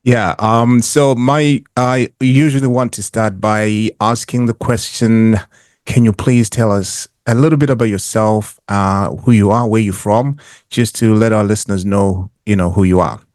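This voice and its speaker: A man hosting a podcast interview over a video call, asking questions in a halting way with many stops and restarts. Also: fairly low pitch, steady tone, a little mellow, no room sound, studio quality. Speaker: podcast host. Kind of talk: casual interview.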